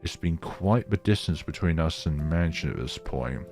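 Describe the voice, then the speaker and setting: A man's voice: a bass voice, expressive, moderate pace, darkish tone. A man reading a visual novel aloud and commenting on it as the narrator of a gaming video. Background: background music.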